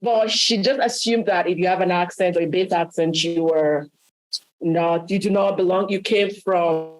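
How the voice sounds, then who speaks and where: A woman's voice: on the low side, fairly even intonation, normal speed, rough recording. A woman with a Nigerian accent telling personal stories in a workshop discussion, self-assured and acting out dialogue as she goes. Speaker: workshop speaker.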